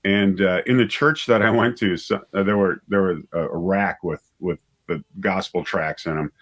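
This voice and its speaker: A man in his fifties telling stories as an interview guest, conversational and halting, often repeating words and correcting himself. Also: deep voice, fairly even intonation, fairly bright tone. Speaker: writer and director, interview guest.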